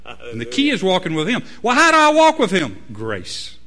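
A man preaching a sermon, swinging between loud emphatic peaks and low quiet asides. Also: wildly swooping pitch, moderate pace. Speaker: pastor.

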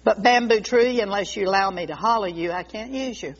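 Garden master for a storybook female reader: male figure, firm, deliberate, insistent, muffled lo-fi capture. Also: mid-range voice, close to an everyday voice. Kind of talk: storybook character voice. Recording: dull lo-fi recording.

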